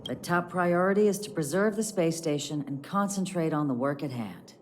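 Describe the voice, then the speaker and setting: A woman playing a patient scientist in a video game, giving measured instruction over music. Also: sits low, expressive, a little hiss or hum. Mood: somber. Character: busy, patient female scientist instructing a youngster. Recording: loud music behind the voice.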